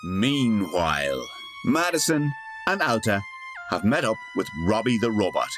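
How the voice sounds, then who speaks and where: A man's voice: fairly low pitch, very animated, measured pace, over music. A man playing a wry, colloquial narrator delivering scripted comic narration for a radio parody.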